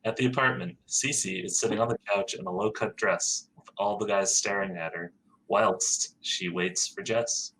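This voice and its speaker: A man's voice: lowish voice, fairly even intonation, moderate pace, a bit bright, slight background noise, poor audio. A man on a podcast reading out a script's stage directions in a plain, matter-of-fact way. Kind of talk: script narration.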